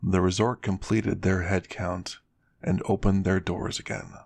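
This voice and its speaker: A man narrates a scripted story for ASMR in a low voice with plenty of fry. The delivery stays fairly even, and the recording is dry and close. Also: measured pace.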